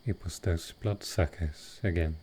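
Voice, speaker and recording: A man voicing a gentle, courtly vampire lord in a roleplay audio drama, speaking softly and formally with halting care. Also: deep voice, steady tone, a little hiss or hum. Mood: grim concern. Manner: soft, slow, formal, halting. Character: gentle old-world male vampire lord, protective and courtly.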